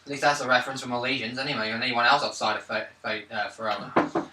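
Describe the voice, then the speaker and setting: A man's voice: mid-range voice, fairly even intonation, fast. A man playing a tabletop role-playing game, chatting casually and jokingly with the others at the table. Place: gaming table in an echoey room. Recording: echoey room, distant mic, loud noise, clicks.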